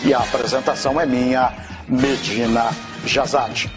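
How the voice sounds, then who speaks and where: A man's voice: medium pitch, flat delivery, measured pace, music in the background. A middle-aged man with a Brazilian Portuguese accent, presenting from a TV studio in a formal manner. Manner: formal, thanking viewers. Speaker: TV host.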